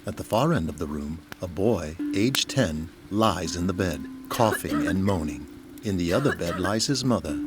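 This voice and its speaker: Casting: male narrator, lowish voice, animated scripted storytelling, music clearly behind.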